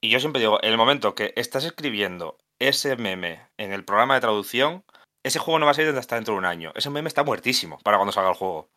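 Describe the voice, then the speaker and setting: A man's voice: on the low side, expressive, fast talker, pushed and bright. A man Asturias, a video game translator chatting as a podcast guest, thinking aloud with frequent restarts. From Asturias, Spain. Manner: thoughtful, many restarts and self-corrections. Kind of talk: casual podcast conversation.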